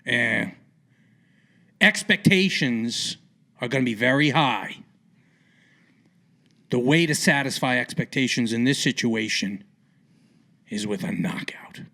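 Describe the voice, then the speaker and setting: A man's voice: mid-range voice, expressive, slow pace, pauses often, sharp tone. A man hosting a sports podcast, asking rambling, opinionated questions in an informal interview style. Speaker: podcast host interviewing a guest.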